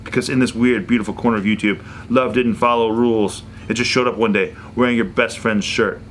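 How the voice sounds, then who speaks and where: A man's voice: mid-range voice, fairly even intonation, brisk, fairly bright tone, a little hiss or hum. A man giving an amused, theatrical reading of fan fiction at a table read. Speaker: reader of the story narration.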